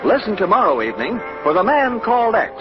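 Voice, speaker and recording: High-pitched American male radio announcer. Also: very animated, fast. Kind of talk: vintage radio announcing and ad read. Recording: muffled old radio, music behind.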